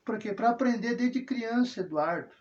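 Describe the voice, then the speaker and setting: A man's voice: very high voice, animated, moderate pace, a little mellow. A man rambling through a casual personal monologue, full of tag questions and self-corrections. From southern Brazil. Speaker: religious video host. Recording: dull lo-fi sound.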